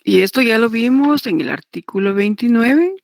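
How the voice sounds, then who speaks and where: A woman giving a didactic lecture, partly read aloud, in a deliberate, repetitive manner. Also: on the high side, lively intonation, creaky, dry recording, clean studio sound. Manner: deliberate, repetitive, checks understanding with tag questions. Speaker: law lecturer teaching notarial law.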